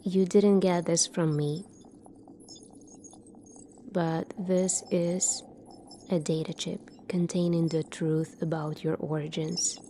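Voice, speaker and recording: Slow, soft-spoken woman in ASMR doctor roleplay. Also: on the low side, level tone, a little hiss or hum. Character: calm, secretive futuristic military doctor.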